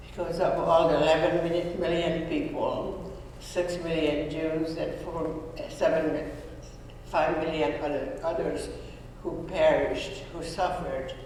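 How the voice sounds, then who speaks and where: A woman, giving an earnest, halting personal testimony to students, often restarting her sentences. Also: low voice, darkish tone, a little hiss or hum. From Hungary. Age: 90s. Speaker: Holocaust survivor speaking to students. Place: school room or auditorium. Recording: echoey hall, voice from far off.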